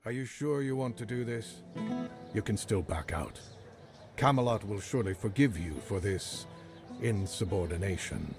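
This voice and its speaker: An actor playing a blunt, sardonic knight in a video game, with music behind. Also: male voice, lowish voice, expressive, moderate pace, creaky. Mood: dry.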